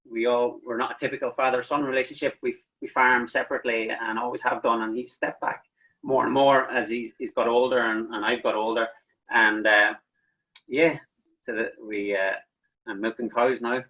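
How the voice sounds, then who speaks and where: Bright-voiced farmer, lo-fi. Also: male voice, medium pitch, moderate pace. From Northern Ireland. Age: 40s. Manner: frank, self-deprecating, relaxed. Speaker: dairy farmer, guest. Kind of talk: casual interview storytelling. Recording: dull lo-fi line.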